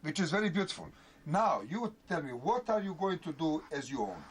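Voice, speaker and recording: A man with a Serbian accent, a non-native English speaker counseling someone, pausing often and searching for his words. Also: a little high, sing-song. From former Yugoslavia. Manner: halting, searching for words, full of fillers. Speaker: mentor and judo teacher. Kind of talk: counseling conversation.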